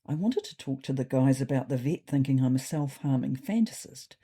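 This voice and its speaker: A woman's voice: extremely low pitch, animated, brisk, mellow, breathy edge, heavily acted. A woman voicing a wry, self-important male cat telling his diary in a dry, mock-serious way for a comedy story.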